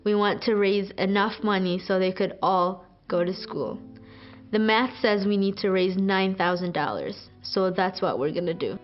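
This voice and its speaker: A teenage girl from Ethiopia, a student, narrating a scripted video in an earnest, measured way. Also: mid-range voice. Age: teens. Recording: muffled, over guitar music.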